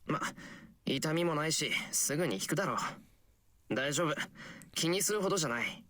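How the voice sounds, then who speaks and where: Fast-talking teenage schoolboy. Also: male voice, a little high, animated, fairly bright tone. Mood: puzzled, calm. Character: teenage schoolboy, calm, puzzled, brushing off worry. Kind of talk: Japanese visual novel voice acting.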